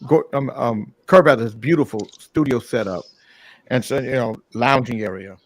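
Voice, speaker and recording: A man hosting a morning talk show, telling a long, profane anecdote in a casual, animated way. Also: mid-range voice, moderate pace, no echo. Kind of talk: casual storytelling.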